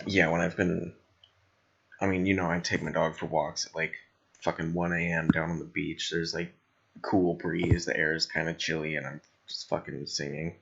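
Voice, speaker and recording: Young male podcast host, very low and raspy with vocal fry, blunt and foul-mouthed, chatting loosely. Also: flat delivery, normal speed, a bit bright, highs cut off. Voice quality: slightly raspy, gravelly. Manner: rambling, blunt. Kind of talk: casual chat, heavy swearing.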